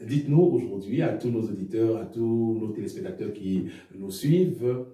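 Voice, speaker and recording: A man's voice: lowish voice, moderate pace, warm tone, very echoey, distant mic. Formal, hesitant male radio host. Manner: formal, hesitant with frequent fillers. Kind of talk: formal interview hosting.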